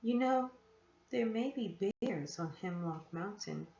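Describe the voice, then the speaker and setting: Woman reading as brisk storybook aunt, echoey. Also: mid-range voice, lively intonation, creaky, warm tone, slight background noise. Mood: worried. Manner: brisk, slightly cross. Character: boy's aunt, brisk, slightly cross, evasive woman. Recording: echoey room, dull muffled sound.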